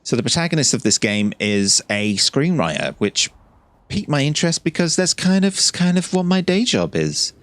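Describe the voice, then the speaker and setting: A man's voice: fairly high pitch, very animated, moderate pace, a bit bright, dry recording. A man giving casual let's play commentary as host, gushing and easily delighted, with muttered asides. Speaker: host of gameplay videos.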